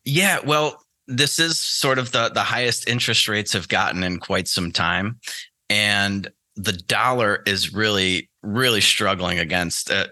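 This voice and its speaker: A low-pitched American man gives casual interview answers, thinking aloud as he goes. His voice is very bright and forceful, and the sound is dry and close. He speaks haltingly, as a guest would in relaxed conversation. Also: dry recording. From United States. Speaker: podcast guest, trader.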